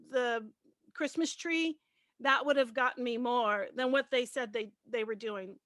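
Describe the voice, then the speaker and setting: A woman's voice: high voice, a bit bright, no room sound. A woman speaking frankly and casually as a panelist, explaining what works for her. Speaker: panelist, radio host and producer.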